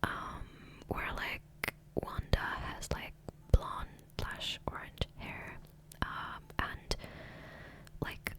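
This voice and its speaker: A woman whispering softly and breathily into a close microphone in a rambling, hesitant ASMR gameplay commentary, often trailing off. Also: extremely slow. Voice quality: soft, breathy unvoiced whisper. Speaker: ASMR gaming creator. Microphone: close USB condenser microphone.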